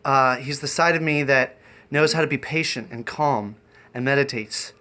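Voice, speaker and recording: A man chatting off the cuff on a livestream, rambling and halting in an eccentric way. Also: medium pitch, bright, forceful tone. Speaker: live streamer and variety performer. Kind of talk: improvised livestream chat.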